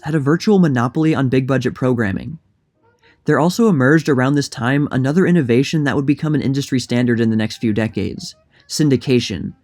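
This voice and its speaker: A man's voice: medium pitch, a little mellow. A man narrating a scripted history documentary in a plain, informative manner. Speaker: narrator and creator of a history channel.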